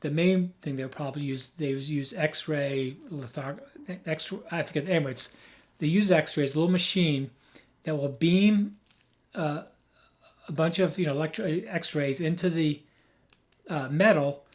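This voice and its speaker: A man who has lost his voice, hoarse, giving an emphatic, rambling off-the-cuff tech explanation as a video host. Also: fairly high pitch, unhurried. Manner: emphatic, rambling, with frequent restarts.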